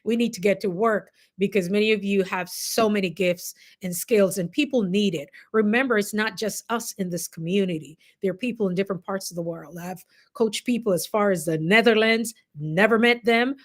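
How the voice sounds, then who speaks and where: A woman's voice: medium pitch, normal speed. Woman with a Jamaican accent, chatting live. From Caribbean, Jamaica. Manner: conversational, encouraging, addressing viewers directly. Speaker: livestream host, coach. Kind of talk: casual livestream chat answering viewers.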